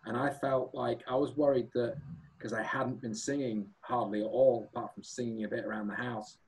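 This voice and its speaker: A man who sings and plays guitar in a band, chatting as a guest in a relaxed, rambling, self-deprecating way. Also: mid-range voice, normal speed, low-quality recording. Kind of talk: casual chat.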